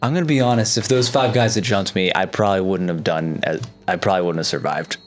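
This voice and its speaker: A man's voice: sits low, quick pace. A man playing a tabletop roleplaying game, chatting in a casual, slangy way.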